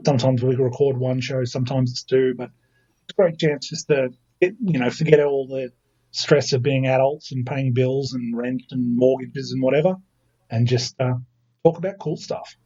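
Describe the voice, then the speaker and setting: Aussie guy in his 30s chatting on a podcast, a bit husky, dull lo-fi sound, trips over his words. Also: mid-range voice, fairly even intonation, moderate pace, mellow. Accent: Australian. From Australia. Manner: chatty, self-mocking, frequent restarts. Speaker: podcast guest. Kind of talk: casual chat.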